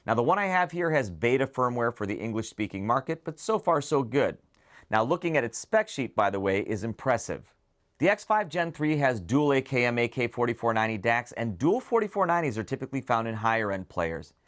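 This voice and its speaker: A man's voice: mid-range voice, big pitch swings, a little fast, a bit bright. Man presenting an audio gear preview. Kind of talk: semi-scripted product preview narration to viewers.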